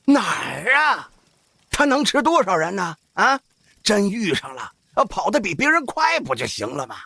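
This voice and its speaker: An actor playing an old village opera-troupe boss, folksy, worldly and cautious, with a raspy, sighing, drawling delivery in an audio drama. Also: male voice, high voice, wildly swooping pitch, normal speed, pushed and bright, no room sound, heavily acted. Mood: matter-of-fact. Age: older. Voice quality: raspy, creaky.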